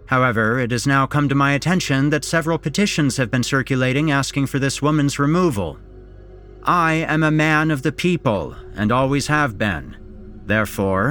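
A man's voice: medium pitch, fairly bright tone, pitched above the performer's own voice, natural voice. A man voicing a pompous male town mayor in an audiobook, declaiming a formal, officious public proclamation.

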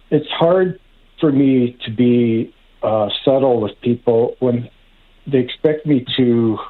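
A narrow, muffled telephone line carries a man's mid-pitched voice at a very slow pace. He is a radio caller who speaks haltingly and meanders, stopping and hesitating between short phrases. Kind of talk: rambling phone-in comment. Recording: thin, band-limited phone line.

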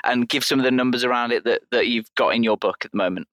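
A man's voice: medium pitch, quick pace, a bit bright, no room sound. A man presenting and interviewing as a podcast host. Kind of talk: scripted introduction and conversational interviewing.

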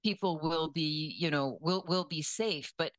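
A woman with a low-pitched voice offers conversational news commentary. She is deliberate and careful, qualifying her opinions as she goes. The sound is dry and close. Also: no room sound.

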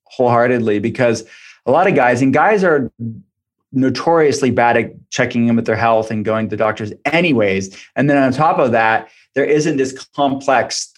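A man's voice: mid-range voice, normal speed. A man speaking as an expert podcast guest, explaining health matters in an informal, conversational way peppered with "like". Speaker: functional medicine doctor, podcast guest.

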